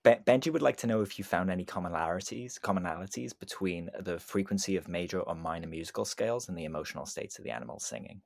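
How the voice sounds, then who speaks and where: Deep gravelly man hosting. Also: quick pace, hardly any pauses, no echo, clean studio sound. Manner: earnest, relays questions with small stumbles. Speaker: podcast host. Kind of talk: interview host reading listener questions.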